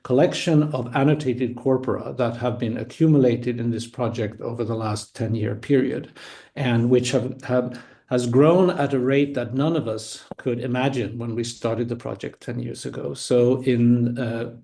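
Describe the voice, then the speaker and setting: A man whose first language is Swedish, giving an academic conference talk online in a measured, explanatory way with small restarts. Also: medium pitch, fairly even intonation, gravelly, mellow. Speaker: conference speaker.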